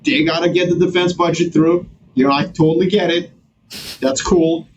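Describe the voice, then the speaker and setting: A man from Serbia, a guest on a podcast, talking in an animated, slangy way with many restarts. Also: a little high, normal speed, highs cut off, background noise. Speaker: guest strategist. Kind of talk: casual podcast conversation.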